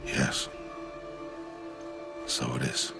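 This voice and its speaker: An actor playing a stern, solemn older outcast mentor in a video game, speaking in a measured, grave and restrained manner. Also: male voice. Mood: calm, reassuring. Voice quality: deep, gravelly. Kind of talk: dramatic video game acting. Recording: music behind the voice.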